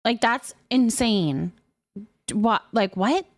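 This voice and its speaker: A woman hosting a casual livestream, talking to viewers in a chatty, informal way with some drawn-out words. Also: a little high, animated, no echo. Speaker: livestream host. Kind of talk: casual livestream chat, greeting viewers and reading comments aloud.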